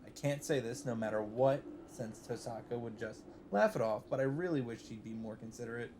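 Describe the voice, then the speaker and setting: A man's voice: medium pitch, brisk, background noise. Man reading a visual novel aloud. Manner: reads narration evenly, breaks in with swearing asides. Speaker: let's play host.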